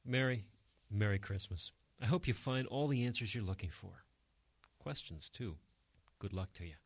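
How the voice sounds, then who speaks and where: An actor playing a gentle, encouraging bartender in a radio drama, speaking earnestly. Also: male voice, fairly low pitch, expressive, moderate pace, breathy edge, no echo. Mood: gentle, supportive. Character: kindly, encouraging bartender and good listener.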